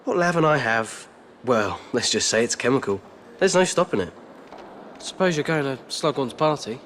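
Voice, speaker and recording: A man's voice: medium pitch, animated, moderate pace, fairly bright tone, a little hiss or hum, close to an everyday voice. An actor playing a cocky, blunt, good-natured teenage boy in a video game.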